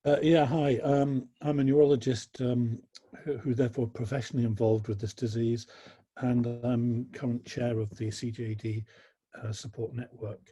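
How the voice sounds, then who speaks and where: A man speaks over a video call in a dark, mellow voice with a husky edge. He is halting and slips in a dry joke as he introduces himself. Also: medium pitch, flat delivery. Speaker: neurologist and network chair.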